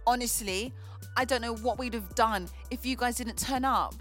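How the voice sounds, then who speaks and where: A woman's voice: a little high, moderate pace, fairly bright tone, lightly acted. An actress playing an emotional young mother in an audio play. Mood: grateful. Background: music.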